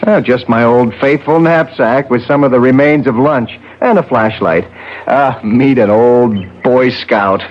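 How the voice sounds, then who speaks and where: A man's voice: medium pitch, unhurried, natural voice. An actor playing a jovial, folksy outdoorsman in a 1970s radio drama. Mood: easygoing, jovial. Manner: folksy, joking. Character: jovial outdoorsy man, folksy old Boy Scout type. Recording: dull vintage radio recording, faint hiss.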